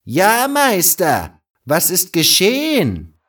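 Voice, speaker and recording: Fantasy radio play: mocking guru acted by a man, high and very drawn out, scornful, slightly off-mic. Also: huge pitch swings, fairly bright tone, husky, recorded from a distance, full character voice. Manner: drawn-out, scornful echoing of others. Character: sneering, mocking adult male cult guru. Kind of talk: fan audio drama fantasy acting.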